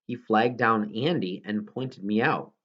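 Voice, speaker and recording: A man's voice: lowish voice, unhurried, highs cut off. A man reading a children's novel aloud as scripted narration, now and then stumbling over a word. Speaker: audiobook reader.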